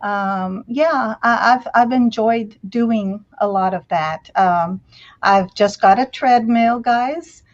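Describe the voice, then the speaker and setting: A woman chatting casually as a panelist, in a halting, unhurried way. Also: fairly high pitch. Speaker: children's author, panelist. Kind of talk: casual chat. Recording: dull, lo-fi call audio.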